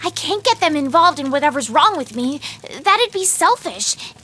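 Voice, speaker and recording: A woman's voice: high voice, big pitch swings, normal speed, sharp tone, full character voice. A woman voicing an anxious, emotional teenage girl in an anime-style video game, girlish and plaintive. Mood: troubled. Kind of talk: video game voice acting in anime dub manner.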